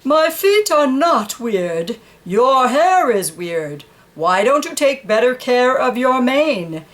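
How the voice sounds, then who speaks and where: Woman playing a condescending storybook horse, slow and high. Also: sing-song, fairly bright tone, exaggerated voice. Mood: condescending, vain. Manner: cooing baby talk, drawn-out, teasing. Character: big horse, vain about its mane, condescending. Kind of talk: children's picture book read aloud.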